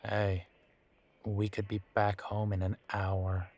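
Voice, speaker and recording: A man playing a weary, wry werewolf boyfriend in an intimate audio roleplay, talking casually with hesitant, trailing pauses. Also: lowish voice, monotone, a little mellow. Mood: wry, resigned. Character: weary, wry male werewolf boyfriend estranged from his family. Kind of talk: intimate roleplay audio drama, conversational monologue.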